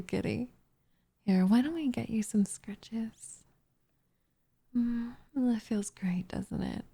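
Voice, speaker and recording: Woman playing a soft, whispery, possessive witch, slightly creaky. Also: medium pitch. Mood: cooing, adoring. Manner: cooing, teasing, smugly condescending, often whispery. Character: doting, possessive young witch turning captive into pet cat. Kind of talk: ASMR roleplay, soft intimate delivery.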